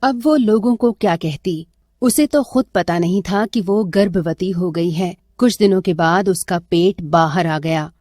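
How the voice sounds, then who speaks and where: A woman's voice: lowish voice, measured pace, darkish tone, no echo, clean studio sound. A woman narrating a scripted dramatic story as a storyteller.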